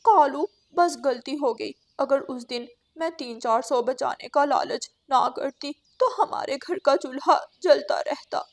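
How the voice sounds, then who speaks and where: A woman's voice: sits high, slow, few pauses. A woman voicing a pleading female bird in a children's cartoon. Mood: worried, regretful.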